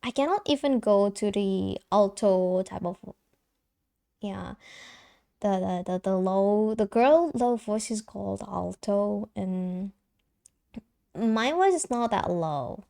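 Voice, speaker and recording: A woman's voice: mid-range voice, expressive, slow, gravelly, mellow. A young woman streamer with a light soprano voice, chatting casually and giggling, with lots of fillers and restarts. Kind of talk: casual live-stream chat.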